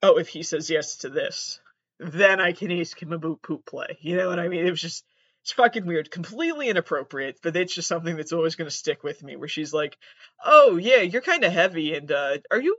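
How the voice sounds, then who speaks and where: Man, North American accent, high-pitched, digressive comedic podcaster, self-deprecating, sweary, muffled lo-fi laptop sound. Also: animated, a little fast, fairly bright tone. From Massachusetts, United States. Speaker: podcast host. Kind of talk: casual comedic solo rambling. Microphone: built-in laptop microphone. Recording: dull lo-fi laptop microphone.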